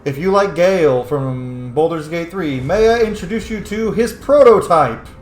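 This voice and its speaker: A man's voice: fairly high pitch, animated, normal speed, soft background music. A man giving casual let's play commentary on a video game, chatty and sweary with frequent asides. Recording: echoey room with faint background noise.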